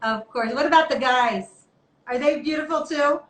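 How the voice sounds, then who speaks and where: High-pitched, playful woman hosting, in an echoey room. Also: normal speed. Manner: playful, teasing, enthusiastic. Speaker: host of a live online interview show. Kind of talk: chatty live interview. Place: indoor room, online video call. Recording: echoey room with background noise.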